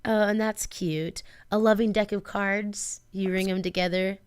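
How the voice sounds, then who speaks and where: A woman co-hosts a casual radio show with a smooth, clear voice at a medium pitch. She is upbeat and gushes over sweet ideas. The sound is dry and close, as on a studio microphone. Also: level tone, a little slow, no echo.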